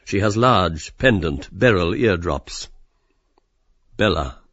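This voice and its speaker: A man's voice: deep voice, expressive, slow pace, a bit bright, muffled recording. A man reading literary stage directions as scripted audiobook narration.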